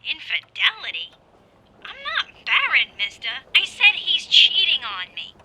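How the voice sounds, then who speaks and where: An actress playing a brassy, uneducated, scorned 1940s wife in a noir radio play, blunt and slangy, on the telephone. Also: female voice, high-pitched, wildly swooping pitch, a little slow, sharp tone, a little hiss or hum, exaggerated voice. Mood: bitter, indignant. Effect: telephone call.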